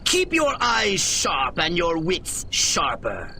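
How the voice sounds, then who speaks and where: Bossy alien mastermind, commanding and clipped, swooping pitch, faint hiss behind. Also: male voice, wildly swooping pitch, takes it slowly, bright, forceful tone, heavily acted. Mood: scheming. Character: scheming alien commander, male, older, brainy and bossy. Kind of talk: video game cutscene acting.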